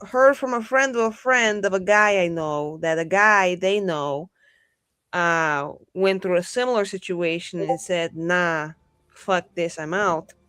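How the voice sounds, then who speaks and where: A woman's voice: lowish voice, a little slow, a bit bright, smooth, dry recording. A woman playing a tabletop roleplaying game, improvising in casual, slangy, sweary talk with a wry, rambling manner.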